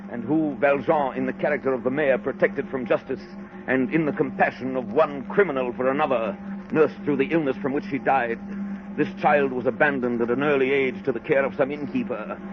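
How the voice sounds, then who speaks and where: An actor playing a stern, zealous, self-satisfied French police inspector reading his notebook in deliberate 1930s radio style. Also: mid-range voice, steady tone, over music, lightly acted. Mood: brooding, determined. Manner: deliberate, emphatic, clipped dramatic reading. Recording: muffled, noisy 1930s radio recording.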